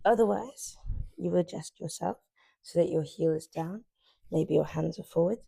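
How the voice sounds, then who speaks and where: Gravelly soft-voiced young woman yoga teacher. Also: fairly low pitch, dry recording. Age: 20s. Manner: soft, unhurried cueing while stretching. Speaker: yoga instructor. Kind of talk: guided stretching class. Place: sunlit living room with large windows.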